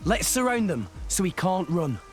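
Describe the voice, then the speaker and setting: An earnest young hero. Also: male voice, high voice, very animated, moderate pace, soft background music. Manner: earnest, coaxing. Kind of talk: video game voice acting.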